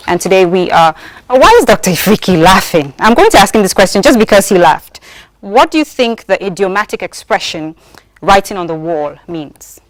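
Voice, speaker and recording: A woman hosting a broadcast interview, brisk, with many short affirming interjections. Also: mid-range voice, expressive, no room sound. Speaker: TV talk show host. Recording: dry studio sound.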